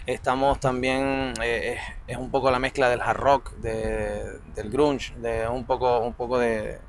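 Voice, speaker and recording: A man from the Canary Islands, singer and bassist of a band, answering loosely while searching for words. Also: flat delivery, a little slow, slight background noise. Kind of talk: casual interview answers.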